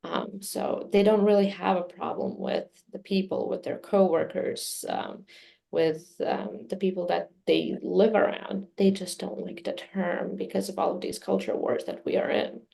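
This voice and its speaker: A woman whose first language is Serbian, answering interview questions in a measured way with many fillers. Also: medium pitch, steady tone, creaky, mellow. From Midwest US. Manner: measured, many fillers, speaks of herself personally. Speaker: communications director, interview guest.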